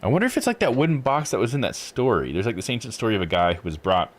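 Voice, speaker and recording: A man's voice: mid-range voice, sing-song, very fast. A man giving casual commentary as a game streamer, rambling as he thinks aloud. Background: music.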